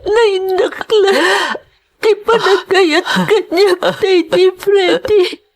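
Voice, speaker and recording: An actress with an Ilocano accent playing a grieving young woman, tearful and halting, in a melodrama. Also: very high-pitched, fairly even intonation, exaggerated voice. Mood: sobbing, pleading. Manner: tearful, slow, halting delivery. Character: grieving young woman Daniela pleading for forgiveness. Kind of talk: melodramatic Ilocano radio-style drama acting.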